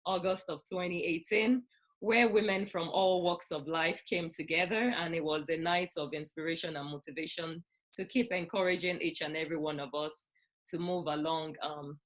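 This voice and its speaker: A woman hosting an online interview, introducing her guest in an earnest, encouraging way, with soft fillers, over a video call. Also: fairly low pitch, steady tone, moderate pace. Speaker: host.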